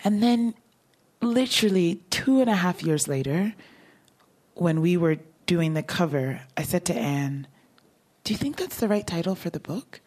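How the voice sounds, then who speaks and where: A woman's voice: on the low side, expressive, normal speed, darkish tone. A woman novelist talking casually about her writing and answering audience questions, laughing often. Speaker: novelist at a book talk. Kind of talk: conversational talk and audience Q&A.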